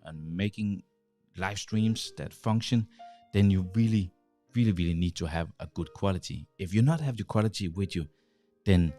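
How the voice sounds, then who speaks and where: A man with a Danish accent talks straight into a studio boom mic, his voice low and deep. He speaks in a halting way, restarting words and filling pauses, with faint music under him. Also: a little mellow. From Denmark. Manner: halting, many restarts and fillers, gestures while talking. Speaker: host. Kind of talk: casual talk to camera. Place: small home studio with dim lights. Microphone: large studio mic on boom arm, headphones.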